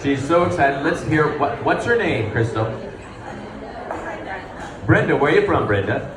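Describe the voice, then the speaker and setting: A man playing a gushing, folksy, excitable quiz show host in the style of 1930s radio. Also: on the high side, measured pace. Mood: excited. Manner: gushing, repeats himself. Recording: roomy hall, distant, live crowd.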